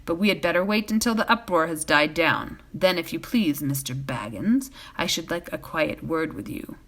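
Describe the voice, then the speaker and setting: A woman voicing a knowing, secretive male wanderer in a storybook, with a dry, measured, reproving manner. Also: low voice, lively intonation, a bit bright, full character voice.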